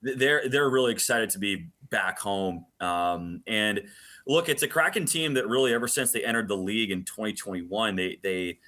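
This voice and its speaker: A man's voice: on the low side, moderate pace, pushed and bright. A man speaking as a play-by-play announcer giving detailed, emphatic analysis in a sports radio interview.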